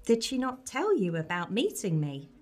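An actress playing an inquisitive, suspicious woman friend in a dark comedy audio drama, brisk and probing. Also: mid-range voice, huge pitch swings.

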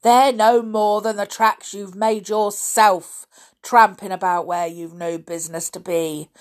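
A woman's voice: medium pitch, expressive, slow pace, voice pushed up. A woman voicing a grumpy, suspicious, deaf old man in a children's story, scolding irritably in rustic turns of phrase. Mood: angry.

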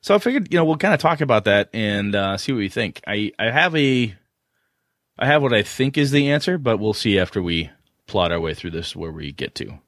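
A man's voice: on the low side, animated, a little fast, a bit bright, dry recording, clean studio sound. A man in his forties co-hosting a casual tabletop gaming podcast, laughing often and restarting his sentences as he talks. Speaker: podcast co-host.

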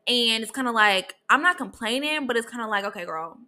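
Bright-voiced chatty woman in her twenties. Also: on the high side, lively intonation, a little fast. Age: 20s. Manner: chatty and upbeat, laughs often. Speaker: podcast host. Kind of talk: casual solo podcast chat.

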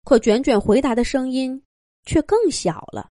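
A woman narrating a children's fairy tale in an expressive, scripted storytelling style. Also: high voice, normal speed, no echo, clean studio sound.

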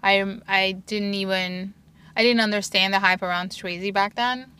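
Woman from turkey in her 40s, bright clear voice, chatty, says "like" a lot. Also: mid-range voice, measured pace. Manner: chatty, many "like" fillers, animated. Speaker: podcast co-host. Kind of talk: casual chat.

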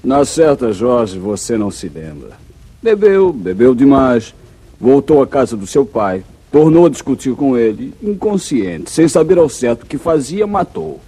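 Casting: treacherous western outlaw, Brazilian accent, husky and mellow-toned, menacing, 1950s radio melodrama. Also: male voice, medium pitch, big pitch swings, unhurried. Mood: insistent. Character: cold, treacherous male outlaw and murderer. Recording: muffled vintage radio drama recording.

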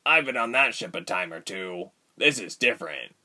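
A man voicing a devoted male prisoner in an audiobook story, quiet and earnest in manner. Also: low-pitched, lively intonation, normal speed, exaggerated voice. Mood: explaining. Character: devoted male captive, quiet, thoughtful, teasing.

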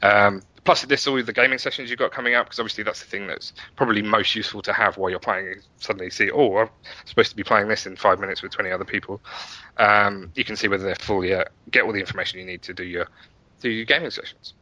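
Guy being interviewed on a podcast, lowish voice, really bright, chatty and keen. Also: fairly even intonation, fast talker, dry recording, rough recording. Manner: enthusiastic, chatty storytelling. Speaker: podcast guest, app and website developer. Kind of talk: casual interview chat.

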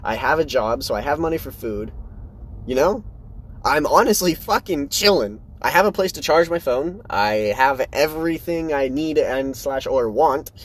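A man's voice: normal speed, breathy edge. Young man rambling candidly on his podcast. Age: 20s. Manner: rambling, candid, animated swings in pitch. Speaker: podcast host. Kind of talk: casual solo podcast monologue.

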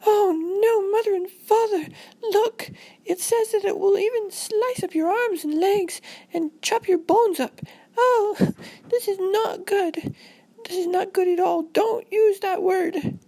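Very high-pitched, panicky little girl. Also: expressive, unhurried, vocal fry, darkish tone, full character voice, low-quality recording. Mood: panicked, wailing. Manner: prim, formal address, overdramatic. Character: panicky literal-minded little girl, daughter, anxious and polite.

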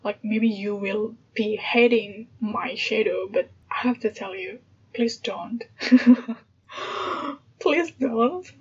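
A woman's voice: medium pitch, drawn-out words, husky. A woman from Indonesia with an Indonesian accent, hosting a casual solo podcast and thinking aloud with hesitations and light laughs. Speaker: podcast host. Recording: dull lo-fi sound with background noise.

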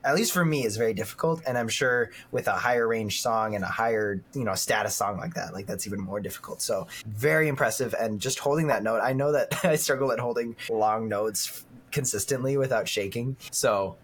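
A man's voice: medium pitch, a little fast, a bit bright, breathy edge. A man co-hosting a podcast in a casual, conversational discussion. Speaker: podcast co-host.

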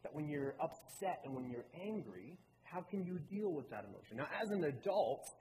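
A man's voice: fairly high pitch, sing-song, moderate pace, a little mellow. A man in his late twenties from Baltimore, giving a personal talk to a church in an earnest, conversational storytelling way. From Baltimore, Maryland. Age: late 20s. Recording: reverberant hall with clear room noise.

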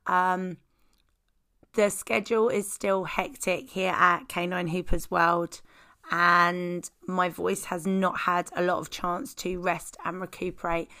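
A woman with a croaky voice, hosting a podcast and calmly explaining dog-training methods in a scripted way. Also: fairly low pitch, slow pace.

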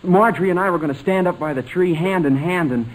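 An earnest young suitor from 1940s radio comedy, played by an actor. His voice sits a little high for a man, and he speaks with careful, hesitant deference. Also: fast, close to an everyday voice, low-quality recording. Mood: earnest, nervous. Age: young adult.

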